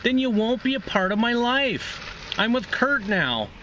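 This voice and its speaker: A man's voice: extremely high pitch, very animated, a little slow, sharp tone, slight background noise, pitched above the performer's own voice, exaggerated voice. A man voicing a blunt, insistent young woman, an ex-girlfriend in a short story. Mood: firm, dismissive. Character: young woman, the ex-girlfriend, firm and matter-of-fact.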